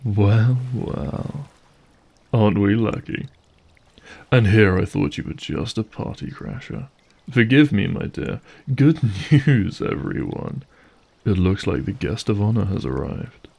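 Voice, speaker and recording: A man playing a suave, menacing monster in a scripted audio drama, mock-courteous and theatrical with a gravelly, husky voice. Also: fairly low pitch, very animated, slow pace, a little mellow, exaggerated voice. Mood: gloating. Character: suave, menacing male monster host, mocking and vengeful.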